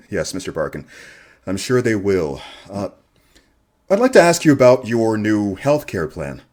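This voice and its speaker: A man acting as a local radio reporter in a radio play. His voice sits fairly low, and he comes across as courteous and earnest, putting his questions in a measured manner. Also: wildly swooping pitch, a little mellow, full character voice. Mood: earnest, challenging. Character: polite, earnest male local radio interviewer. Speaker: actor in an audio drama. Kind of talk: radio theater acting, news interview questions.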